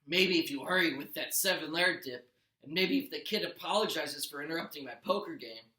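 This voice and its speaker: A man's voice: a little high, brisk, sharp tone. A man voicing a boorish, demanding, suspicious stepfather in a storybook read-aloud. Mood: grudging. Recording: roomy echo.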